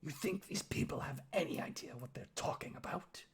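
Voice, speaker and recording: A man voicing a bitter, menacing orc father with a husky, gruff voice and sneering, hissing delivery in a fantasy story. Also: on the high side, expressive, fairly quick, close to an everyday voice. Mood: scornful.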